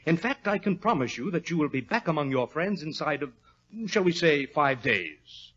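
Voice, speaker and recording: A gloating, suave radio mastermind. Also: male voice, a little high, lively intonation, normal speed, a bit bright, slightly rough. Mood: smug menace. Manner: suave, mock-courteous, gloating. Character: sinister gloating male criminal mastermind, a scientist of the brain. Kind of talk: 1940s radio acting. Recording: dull old radio recording, music behind.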